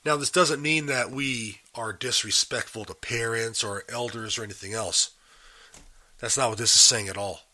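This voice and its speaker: Man, Bible podcast host, raspy and husky from a cold, bright forward tone, earnest questioning delivery. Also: medium pitch, normal speed. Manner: earnest, asks the listener questions. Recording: live and unedited.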